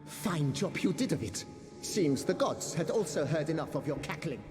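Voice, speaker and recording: A man's voice: fairly high pitch, expressive, normal speed, close to an everyday voice. A man playing a bickering gambler's ghost. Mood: indignant. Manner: indignant, theatrical, exasperated. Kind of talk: video game voice acting. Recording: game audio with reverb and music.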